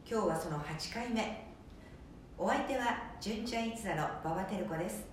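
A woman's voice: mid-range voice, normal speed, slight background noise. A woman hosting a small radio show, presenting from a script with cheerful, announcer-like emphasis. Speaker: host. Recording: echoey room from a distance.

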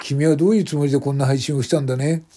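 Man voicing an overbearing male office boss, stern, accusatory, condescending, higher raised voice, radio drama, music behind. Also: fairly even intonation, very fast, noisy background, natural voice. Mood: stern, accusing. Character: angry, overbearing male personnel manager scolding an employee. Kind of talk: amateur radio drama acting.

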